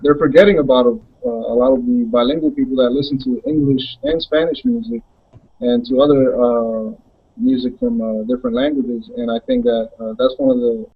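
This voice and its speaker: A young adult man from the Dominican Republic, a music producer answering interview questions in a halting way with many fillers. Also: mid-range voice, big pitch swings, vocal fry, slightly rough, phone-quality sound.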